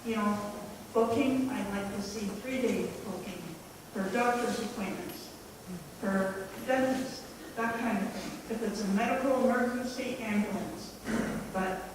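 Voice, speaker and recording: Plainspoken candidate in an echoey hall. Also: female voice, medium pitch, far from the mic. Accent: Canadian. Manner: plainspoken, hesitant with fillers. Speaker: council candidate. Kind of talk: campaign speech at a public meeting. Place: large hall at a candidates meeting. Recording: echoey hall, mic from afar, faint hum.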